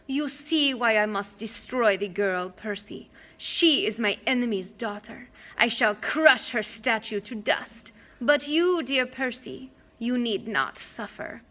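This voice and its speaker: A woman voicing a soothing old female monster who poses as a kindly grandmother, with a slow, purring, coaxing manner, in a storybook reading. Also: a little high, lively intonation, sharp tone, pitched above the performer's own voice, heavily acted.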